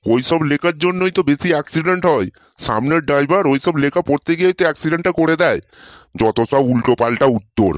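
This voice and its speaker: A man's voice: fairly high pitch, lively intonation, fast talker, no room sound, close to an everyday voice. A man voicing a blustering, easily exasperated male quiz teacher in a comedy cartoon, scolding and exclaiming.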